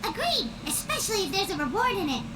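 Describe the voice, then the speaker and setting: A woman's voice: very high-pitched, big pitch swings, fast, far from the mic, very noisy. A woman voicing a small, childlike, eager floating girl companion in a video game, with a perky, exclamatory delivery.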